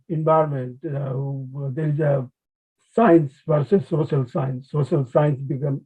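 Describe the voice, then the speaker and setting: Male academic speaker, Nepali accent, slightly high raspy voice, halting delivery. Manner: halting, hesitant phrasing with frequent restarts. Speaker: conservation biologist, webinar speaker. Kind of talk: informal academic webinar talk. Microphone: video call. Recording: thin phone-like online call audio.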